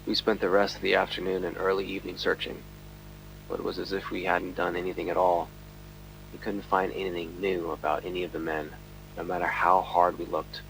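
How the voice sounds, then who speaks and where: A weary detective in an audio drama, with a very low voice and a gravelly edge. He speaks in a brooding, deliberate way, as if committing a case to tape. A faint rumble sits behind him. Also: little pitch movement, fairly bright tone, poor audio. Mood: somber. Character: weary, driven male police detective recording his case. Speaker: detective narrator. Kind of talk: audio drama narration and dialogue.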